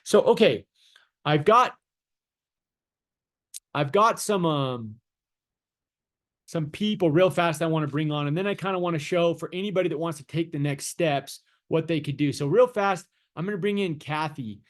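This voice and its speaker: Man, host of a business call; fairly high voice, eager and hurried. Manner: upbeat, hurried, repeats words. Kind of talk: casual pitch on a video call. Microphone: video call.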